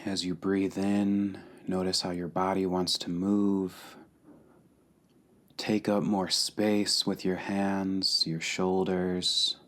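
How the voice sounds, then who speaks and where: A man leading a guided meditation calmly and deliberately, pausing between short phrases. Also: low-pitched. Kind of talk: guided meditation, slow and spacious.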